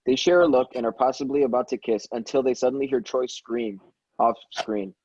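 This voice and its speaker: A man's voice: mid-range voice, fairly even intonation, fairly quick, darkish tone, breathy edge, no echo. A man reading stage directions as narrator in an amateur table read, stumbling now and then and correcting himself. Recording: dull, dry lo-fi microphone.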